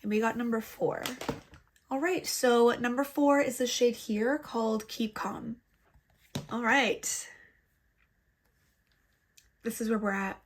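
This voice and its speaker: A woman vlogger talks through a makeup tutorial in a chatty, self-deprecating way, her voice a touch high and audibly stuffed up from congestion. She sounds like she is at home, recorded on a laptop microphone. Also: fairly even intonation, slow pace, long pauses, slight background noise. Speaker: beauty vlogger. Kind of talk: casual makeup tutorial chat. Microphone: laptop built-in mic.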